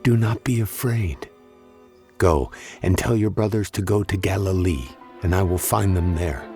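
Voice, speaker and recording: Low, unhurried, gentle savior. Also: male voice, over music. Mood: tender, reassuring. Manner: grave, tender, unhurried. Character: Jesus, solemn gentle male savior. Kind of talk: biblical audio drama acting.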